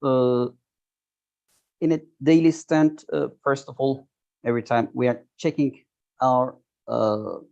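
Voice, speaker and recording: A man in his thirties with a Turkish accent, a guest on a video call interview, talking haltingly and searching for words. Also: medium pitch, dark tone. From Turkey. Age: 30s. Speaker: guest, software tester. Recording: dull lo-fi video call audio.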